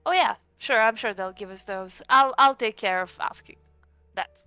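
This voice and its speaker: Bright, high-voiced woman, playful tabletop roleplayer. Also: normal speed, smooth, no echo, faint music behind. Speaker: player in a tabletop roleplaying game. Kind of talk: casual in-character roleplay and table talk.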